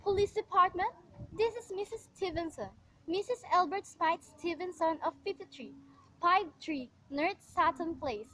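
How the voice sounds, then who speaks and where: A woman's voice: extremely high pitch, normal speed, bright, forceful tone, a little hiss or hum, rough recording. A woman in an amateur radio play, playing an anxious, imperious married woman who insistently demands action over the telephone. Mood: agitated, insistent. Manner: insistent, indignant, exasperated.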